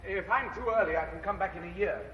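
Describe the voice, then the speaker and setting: A weary, fastidious professor with an English accent answers in dry, clipped, polite lines. His voice sits fairly high for a man. It is a live soundboard recording, so he sounds distant, with a long theater-hall reverb and a faint hiss. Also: a bit bright. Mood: dry, evasive. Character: weary fastidious European professor, ill and reserved. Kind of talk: stage musical dialogue.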